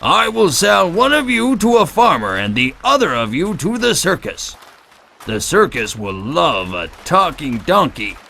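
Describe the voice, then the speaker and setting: Sly, wildly swooping villainous wagon master. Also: male voice, on the high side, wildly swooping pitch, vocal fry, sharp tone, a little hiss or hum, heavily acted. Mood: gloating, menacing. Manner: slow, drawn-out, menacing delivery. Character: sly evil wagon master, a grown man, gloating. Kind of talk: animated children's story acting.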